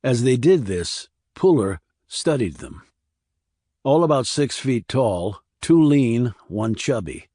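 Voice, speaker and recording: A man narrating a crime thriller audiobook in a measured, serious, scripted reading. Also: medium pitch, sing-song, dark tone, dry recording, studio quality.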